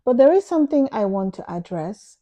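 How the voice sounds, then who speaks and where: A Nigerian woman, a native Igbo speaker, talking to camera in an earnest, emphatic way, explaining her views at a measured pace. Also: fairly high pitch, big pitch swings, mellow, lo-fi sound. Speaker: vlogger. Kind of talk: opinion monologue to camera.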